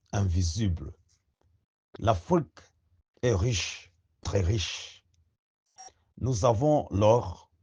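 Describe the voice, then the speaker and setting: A man giving a slow, rousing spoken address to young listeners, full of rhetorical questions. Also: on the low side, a little mellow, slightly rough, muffled recording. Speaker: activist speaker.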